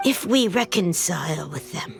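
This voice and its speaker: A woman's voice: lowish voice, animated, moderate pace, vocal fry, soft background music. An actress playing a grave woman who pleads with and counsels her leader in a video game, in an imploring way. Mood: grave, pleading. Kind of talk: scripted English video game voice acting.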